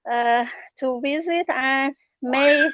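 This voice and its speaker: A woman's voice: high voice, slow, a bit bright, dry recording. A woman whose first language is Farsi, a journalist and travel writer answering interview questions hesitantly, with many fillers and restarts. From Iran.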